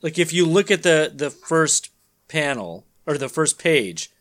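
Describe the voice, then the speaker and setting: A man's voice: a little high, big pitch swings, unhurried, fairly bright tone. A man hosting and narrating a comedic dramatic reading, mixed with casual banter. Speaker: podcast host and narrator.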